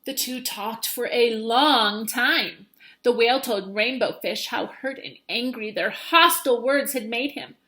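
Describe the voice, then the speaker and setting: A woman's voice: a little high, a little slow, pushed and bright. A woman teacher reading a children's storybook aloud, expressively and with dramatic emphasis.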